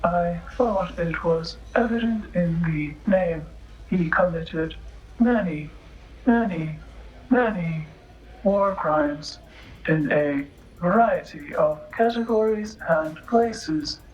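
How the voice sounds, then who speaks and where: Slow, formal android bartender. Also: female voice, lowish voice, muffled recording, faint music behind. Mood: deadpan needling. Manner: formal, precise, literal, halting robotic phrasing. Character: polite, sly female android bartender, coolly literal and formal. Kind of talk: noir audio drama acting.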